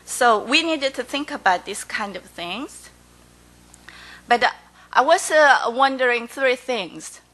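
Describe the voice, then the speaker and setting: A woman with a Korean accent, whose first language is Korean, giving halting, hesitant remarks as a panelist at a conference forum. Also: on the high side, expressive, pushed and bright, low-quality recording.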